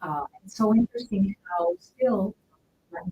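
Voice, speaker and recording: A woman's voice: mid-range voice, lively intonation, unhurried, a little hiss or hum. A woman hosting an interview, asking long, trailing questions over a tinny phone-like line. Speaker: show host. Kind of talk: casual interview chat.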